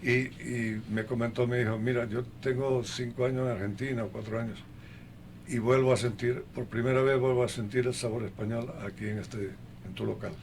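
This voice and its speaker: An older man being interviewed in a conversational way, speaking haltingly and listing things one by one, with a gravelly voice. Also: medium pitch, steady tone, a little mellow, a little hiss or hum. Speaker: interview guest.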